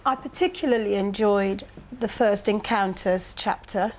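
A woman's voice: mid-range voice, measured pace, a little hiss or hum, low-quality recording. A woman giving her informal opinion of a book as a panel reviewer.

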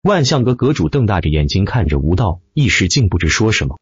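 A man's voice: low voice, big pitch swings, quick pace, slightly rough, no room sound, highs cut off. A man narrating a web novel as an audiobook in a dramatic, emphatic, theatrical style.

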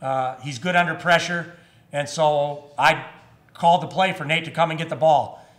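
Fifties male coach, a bit high-pitched, halting and measured, speaking into a press table mic. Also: fairly bright tone. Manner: measured, with frequent fillers and restarts. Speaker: head basketball coach. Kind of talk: postgame press conference. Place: press room in front of a sponsor backdrop. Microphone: table microphone.

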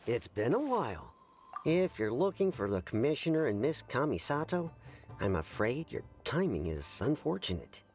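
A man's voice: medium pitch, very animated, normal speed, darkish tone. A man voicing a polite, formal young guard in a video game. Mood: courteous. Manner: courteous, formal. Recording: faint game music behind.

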